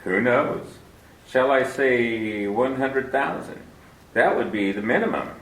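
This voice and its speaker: An actor playing a smooth, scheming older villain who talks in a genteel, unhurried way of menace, in a 1940s radio drama. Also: male voice, mid-range voice, a bit bright. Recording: roomy old radio broadcast recording.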